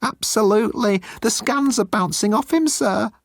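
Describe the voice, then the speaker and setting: A man voicing a timid, pleading young alien officer with a squeaky, flustered voice in a sci-fi audiobook. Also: moderate pace, vocal fry, dry recording, studio quality. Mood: nervous, earnest. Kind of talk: audiobook character acting.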